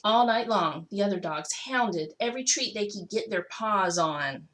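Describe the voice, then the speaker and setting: A woman's voice: mid-range voice, expressive, moderate pace, fairly bright tone. A woman reading a children's picture book aloud expressively, stretching out key words for emphasis. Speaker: school technology coordinator reading to children.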